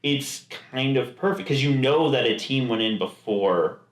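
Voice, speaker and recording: A man's voice: mid-range voice, animated, unhurried. Rambling male podcast co-host, echoey room. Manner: rambling, full of restarts and quoted asides. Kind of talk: casual chat.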